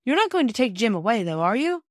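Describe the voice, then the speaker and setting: Brisk excitable boy, a woman reading him, a bit higher than her usual, dry close sound. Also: no echo. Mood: eager. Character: eager bossy young boy.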